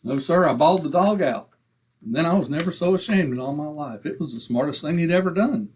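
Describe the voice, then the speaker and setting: A man's voice: on the high side, lively intonation, fairly quick, a little mellow, slight background noise, close to an everyday voice. A man playing a blustery, boastful middle-aged husband with folksy, slangy grumbling in an old-time radio comedy.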